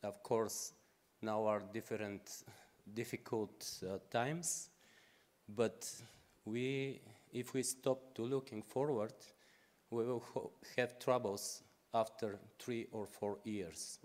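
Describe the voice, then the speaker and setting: A man in his forties with a Bulgarian accent, asking a halting, unscripted question at a conference lectern microphone. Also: fairly low pitch, steady tone, darkish tone. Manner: halting, non-native phrasing. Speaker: audience questioner.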